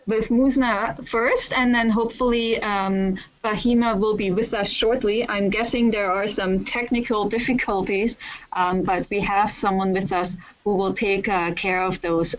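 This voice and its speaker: A woman moderating a panel, introducing guests in a measured, somewhat hesitant way. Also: mid-range voice, low-quality recording.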